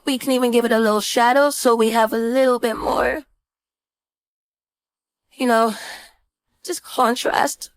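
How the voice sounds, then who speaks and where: A woman instructor giving a casual screen-share software tutorial, informally thinking aloud step by step into a close mic. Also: a little high, steady tone, measured pace, pauses often, no echo, low-quality recording. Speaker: design instructor.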